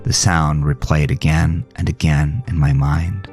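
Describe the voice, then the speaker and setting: A man narrating a first-person horror audio drama in a somber, dramatic manner with deliberate phrasing. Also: extremely low pitch, steady tone, darkish tone. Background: music.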